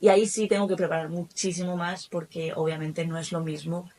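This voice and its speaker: A woman from Spain with a Spanish accent, a guest in a casual interview, telling her story in a lively way with many asides. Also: fairly low pitch, fairly quick, slight background noise. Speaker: guest, German teacher and academy founder.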